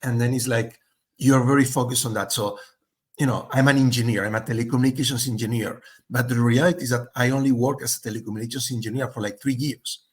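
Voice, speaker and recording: A man's voice: mid-range voice, fairly even intonation, quick pace. A middle-aged man from Spain, talking as a guest in an interview, thoughtful and full of fillers and restarts. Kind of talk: casual interview chat.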